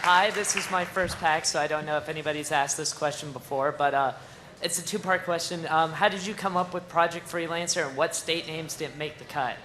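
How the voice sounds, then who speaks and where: A man's voice: fairly high pitch, fairly quick. A man in the audience casually putting a question to a convention panel. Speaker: audience member asking a question. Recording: live hall with crowd noise and clicks.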